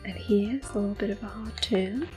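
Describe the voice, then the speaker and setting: A young woman giving calm, soft-spoken ASMR commentary on a game she is playing, drifting often into close whispers with gentle fillers. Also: mid-range voice, normal speed. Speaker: ASMR gaming host. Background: faint video game music.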